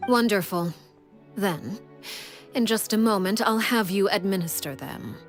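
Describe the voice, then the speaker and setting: A woman voicing a stern, cold leader of an orphan house in a game, speaking in a calm, measured, commanding way, often hushed. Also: mid-range voice, faint music behind, natural voice. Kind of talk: video game voice acting.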